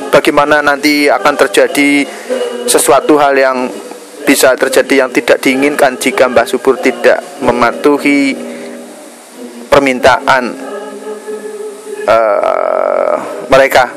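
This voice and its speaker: A man with a Javanese Indonesian accent giving earnest, somewhat preachy commentary to his viewers as a video blogger, pausing often mid-phrase. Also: mid-range voice, animated, creaky, a crowd in the background, rough recording. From Indonesia, Java.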